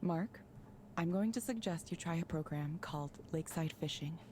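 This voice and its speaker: A woman playing a calm, polite counselor in a video game, speaking scripted advice in a measured, neutral way. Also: on the low side, a little hiss or hum, lightly acted. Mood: calm, instructive.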